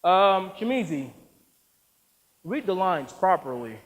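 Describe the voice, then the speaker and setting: A man's voice: sits high, big pitch swings, slow pace, exaggerated voice. Man playing an overbearing, impatient director. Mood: impatient, insistent. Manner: insistent, curt, domineering. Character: overbearing, impatient male acting director demanding obedience. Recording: long reverberant hall.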